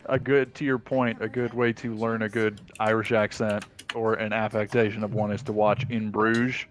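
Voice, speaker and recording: A man joining a livestream chat from off mic with a laid-back, drawn-out manner. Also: lowish voice, little pitch movement. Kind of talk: casual chat.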